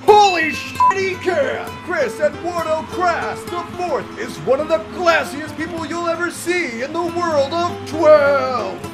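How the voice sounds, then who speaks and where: High-pitched, bombastic cartoon sports announcer. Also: male voice, animated, takes it slowly, hardly any pauses, over loud music, full character voice. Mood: hyped. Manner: bombastic, profane. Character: excitable male fantasy sports announcer, crass and cynical. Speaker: sports commentator. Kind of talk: over-the-top play-by-play commentary.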